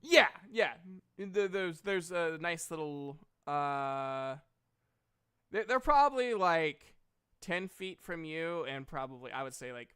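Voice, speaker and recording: A man's voice: on the high side, lively intonation, slow, bright, forceful tone, clean tone. A man narrating a tabletop game as game master, casual and improvised, with joking, profane asides. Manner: jokey, profane.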